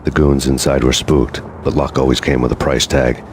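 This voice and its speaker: An American actor playing a world-weary wanted cop, narrating in a brooding, deadpan hardboiled noir style. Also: male voice, rumbling low, normal speed, background noise. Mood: grim, brooding. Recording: music behind the voice.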